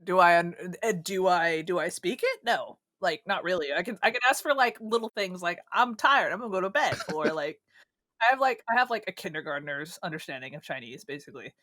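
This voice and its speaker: A man's voice: sits high, expressive, fairly quick, hardly any pauses, bright, forceful tone, no echo. A man co-hosting a gaming podcast in casual chat. He teases his co-host with crude jokes and laughs a lot.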